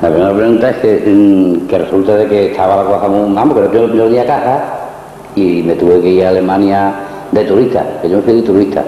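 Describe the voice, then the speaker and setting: A man's voice: low voice, a little fast. A man from Spain reminisces informally, looping back over his words in colloquial phrases. The sound is heavily echoing and muffled, with faint noise behind him. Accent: Spanish from Spain. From Cañada, Spain. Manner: rambling, colloquial, repetitive. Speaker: interviewee, former emigrant worker. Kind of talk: informal spoken recollection. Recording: muffled, very echoey hall with noise.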